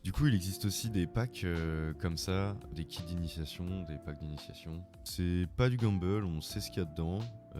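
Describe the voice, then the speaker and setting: Male vlogger with a cold, very low voice, loose rambling delivery, music bed underneath. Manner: informal, rambling. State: has a cold. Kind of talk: casual video tutorial. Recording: music playing behind the voice.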